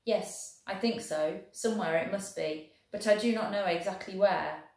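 A woman reading aloud, voicing a tall soldier, formal and gentle. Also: medium pitch, normal speed, very echoey, natural voice. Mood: earnest. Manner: formal, careful.

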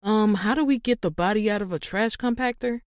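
A woman's voice: mid-range voice, brisk, close to an everyday voice. An actress playing a practical, sardonic woman in a crew of amateur robbers, in an audio drama. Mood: uneasy.

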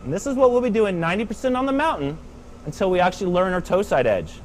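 Male snowboard coach, thirties, higher voice, calm clipped cues, faint hum behind. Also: fast. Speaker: snowboard instructor. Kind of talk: step-by-step instructional demonstration. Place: indoor training slope under a roof.

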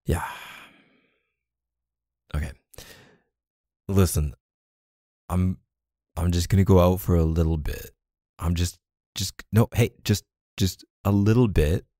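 A man's voice: low voice, slow, long pauses, dark tone, dry recording, clean studio sound. A man playing a laid-back, apologetic boyfriend in an intimate audio roleplay, talking casually and hesitantly with coaxing pet names. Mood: conciliatory. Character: laid-back, apologetic young boyfriend soothing his neglected partner. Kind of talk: boyfriend ASMR roleplay, casual intimate one-sided dialogue.

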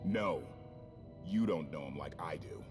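Challenging, hot-headed loyalist. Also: male voice, extremely low pitch, measured pace. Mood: defiant. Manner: challenging, sardonic. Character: distrustful, hot-headed young male loyalist. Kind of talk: video game dialogue acting. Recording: music under the dialogue.

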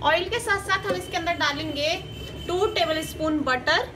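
Female cooking host, high voice, measured step-by-step recipe read, noisy kitchen behind. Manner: steady instructional, repeats each step. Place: home kitchen. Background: sizzling and stirring in a pan.

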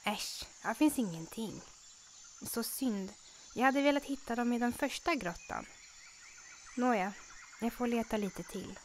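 A woman's voice: a little high, pauses often, heavy background noise, lightly acted. A woman voicing a friendly little panther cub in a cartoon fan dub, with a childlike manner. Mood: disappointed. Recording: music behind the voice.

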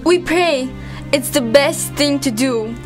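Woman acting high-voiced, steadfast young prisoner. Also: slow, music in the background. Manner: earnest. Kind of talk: amateur student radio drama acting.

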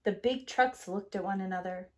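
A woman's voice: mid-range voice, normal speed, a little mellow, a little hiss or hum. A middle-aged woman, a teacher, reading a children's picture book expressively indoors. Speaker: teacher, storyteller. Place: small room at home, indoors.

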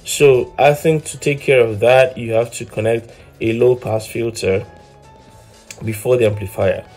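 A man's voice: mid-range voice, steady tone, measured pace, darkish tone, faint music behind. A man in his thirties giving an informal hands-on tutorial as host, explaining step by step and often repeating words as he goes. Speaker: host of an electronics DIY channel.

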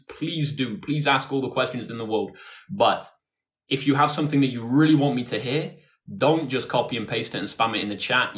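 A British man in his twenties speaks at a medium pitch. He sounds like a tutor running a casual live session, chatty and informal, addressing his viewers directly as he takes their questions. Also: fairly bright tone. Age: 20s. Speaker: maths tutor hosting a livestream. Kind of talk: casual livestream chat, answering viewer questions. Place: indoors, close to a phone on a tripod.

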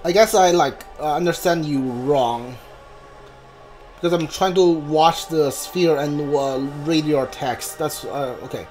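A man with a high voice streams a video game and talks to his chat. His commentary is casual and rambling, and he often circles back over the same point with hesitant phrasing. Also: measured pace, darkish tone, soft background music. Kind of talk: casual live gameplay commentary.

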